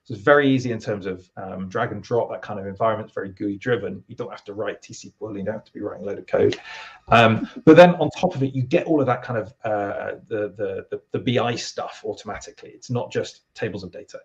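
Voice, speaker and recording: A man with a British accent, a guest from a product team, explaining at length in a relaxed, rambling way with lots of fillers. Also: lowish voice, fast, muffled recording. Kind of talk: casual interview talk.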